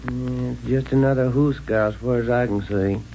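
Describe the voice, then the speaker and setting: Casting: male actor as slangy, restless good-natured Southern US sidekick, mid-pitched, for vintage radio adventure with dulled sound. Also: steady tone, brisk, vocal fry, warm tone, lightly acted. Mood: disgruntled. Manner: folksy drawling slang, complaining. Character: Doc, folksy grumbling cheerful adventurer, restless to fight. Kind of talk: 1940s radio adventure acting. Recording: noisy, muffled old-time radio recording.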